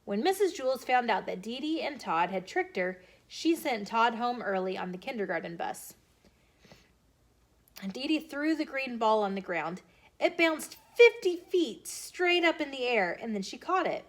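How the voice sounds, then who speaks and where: An American woman reading a children's storybook aloud in an expressive, animated way as a storytime host. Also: a little high, unhurried. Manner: animated, emphatic character dialogue.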